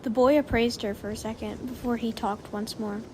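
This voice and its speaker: A woman's voice: fairly high pitch, fairly even intonation, normal speed, darkish tone, a little hiss or hum. A woman narrating a story from a script, as in an audiobook of fan fiction.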